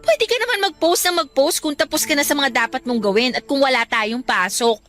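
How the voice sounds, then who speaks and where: High, bright, earnest student friend. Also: female voice, fairly quick. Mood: hurt, admonishing. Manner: earnest, admonishing. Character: earnest, studious, preachy young female best friend. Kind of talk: radio drama acting. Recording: music bed under the voice.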